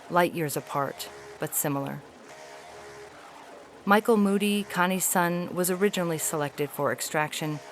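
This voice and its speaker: A woman's voice: fairly low pitch, lightly acted. Woman as formal, weary alien ambassador over noise. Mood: grave disappointment. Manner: formal, measured, articulate. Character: haughty, weary feline alien ambassador, disillusioned with humans. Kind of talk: dramatic reading of an epistolary science fiction story.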